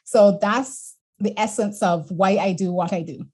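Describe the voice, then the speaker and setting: A woman's voice: medium pitch, a little slow, a little mellow, breathy edge. A middle-aged woman from Barbados, a wellness coach telling her story as an interview guest in an earnest, reflective way.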